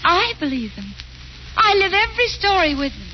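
A young wife who schemes and dramatizes, with a high, coaxing voice. The old radio recording sounds dull, with a faint hiss underneath. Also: female voice, big pitch swings, moderate pace. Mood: sheepish. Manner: coaxing, wheedling, theatrical. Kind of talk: 1940s radio acting.

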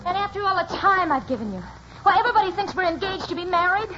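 Casting: brassy, hot-tempered American showgirl, extremely high voice, slangy scold, noisy vintage radio thriller. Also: lively intonation, fast. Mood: indignant. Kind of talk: 1940s American radio drama acting. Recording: dull, noisy old radio recording.

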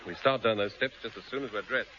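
An actor playing a calm, commanding space expedition leader in a vintage radio drama, with measured, formal phrasing. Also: male voice, fairly low pitch. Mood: firm. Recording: dull, noisy old radio recording.

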